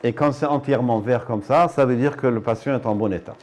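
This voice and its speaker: An older man, originally from Iran, talks in a medium-pitched voice as a physician presenting his practice. He explains in a teacherly way, often setting out points one by one, as in an interview. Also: fast, a little mellow. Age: 60s or older. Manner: didactic, asks and answers his own questions. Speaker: ophthalmologist.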